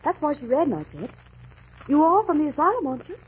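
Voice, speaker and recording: A muffled vintage radio recording with slight hiss features a kind young dancer. Her voice is fairly high and swings expressively. She is brisk and coaxing, always reassuring. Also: big pitch swings. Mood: urgent, friendly. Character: kind, brisk young woman dancer befriending a lost soldier. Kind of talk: 1940s radio drama acting.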